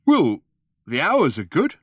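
A man voicing a huge, slow-witted young alien guard in an audiobook, with a booming, thick-headed delivery. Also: wildly swooping pitch, a bit bright, no echo, studio quality, heavily acted. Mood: sluggish, pondering. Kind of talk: audiobook character voice.

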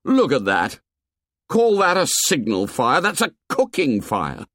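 A man voicing a desperate, earnest schoolboy leader, pleading and shouting in a raised voice. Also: fairly high pitch, sing-song, a little slow, no room sound, studio quality, pitched above the performer's own voice. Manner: pleading, rising to angry shouts.

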